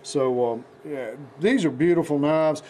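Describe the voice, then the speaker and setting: A man with a hoarse, raspy voice from the flu, hosting a casual knife show with rambling talk and many fillers. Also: fairly high pitch, a little slow, mellow, a little hiss or hum. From United States. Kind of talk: casual product demonstration.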